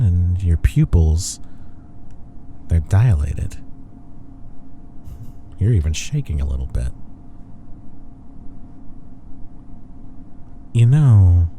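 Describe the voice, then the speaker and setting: A man acting as a cocky, sharp-tongued, flirtatious office rival in an intimate audio roleplay, with a smug, sarcastic way of talking. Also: low voice, a little hiss or hum. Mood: flirtatious, taunting. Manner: smug, sarcastic, drawn-out taunting.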